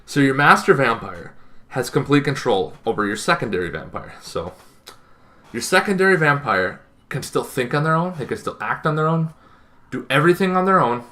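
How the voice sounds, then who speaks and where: A young adult man giving an informal explainer to camera as a host, talking in an animated, conversational way and thinking aloud with asides. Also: medium pitch, normal speed. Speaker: host of a tabletop roleplaying advice channel. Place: small bedroom, indoors.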